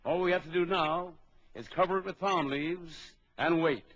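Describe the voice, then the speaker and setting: Man acting a high-voiced, commanding big-game trapper. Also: a bit bright, close to an everyday voice. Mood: confident, instructive. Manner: brisk, instructive, giving orders. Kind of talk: old children's record radio acting. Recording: dull old record with background crowd noise.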